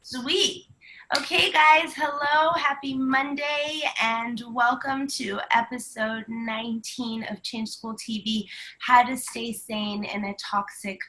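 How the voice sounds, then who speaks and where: A woman's voice: a little high, fairly even intonation, takes it slowly, pushed and bright, a little hiss or hum, low-quality recording. A woman co-hosting a live stream from home, upbeat and chatty with lots of ums, sounding stuffy from a cold. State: stuffy from flu. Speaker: co-host.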